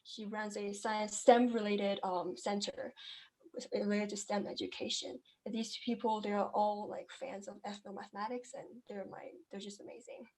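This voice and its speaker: A woman's voice: medium pitch, little pitch movement, normal speed, darkish tone, low-quality recording. A young woman from China, raised in Kenya, answering interview questions in a casual, chatty way with many fillers and restarts. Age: young adult. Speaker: guest entrepreneur.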